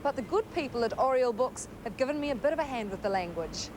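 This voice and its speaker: A woman's voice: on the high side, animated, fast. A woman delivering scripted feature narration as a reporter. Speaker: television reporter. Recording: clear street and vehicle noise behind.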